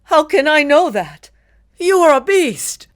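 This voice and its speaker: A woman voicing a frightened man who built a creature, speaking high and shrill in a storybook reading. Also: big pitch swings, very slow, no echo, clean studio sound, exaggerated voice. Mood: fearful accusing.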